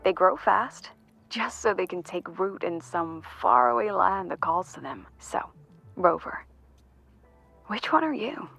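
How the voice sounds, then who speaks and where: An actress playing a composed, wistful academy mentor in a video game, speaking in a measured, reflective way. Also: female voice, lowish voice, expressive, vocal fry, fairly bright tone, slightly rough, faint music behind, exaggerated voice. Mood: wistful, gentle.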